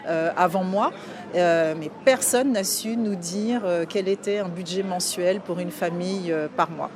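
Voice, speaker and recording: A woman's voice: on the low side, unhurried, loud music behind the voice. A woman in her forties from France, speaking hesitantly in a personal interview. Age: 40s. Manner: hesitant, many fillers. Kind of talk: personal testimony. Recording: outdoor street noise.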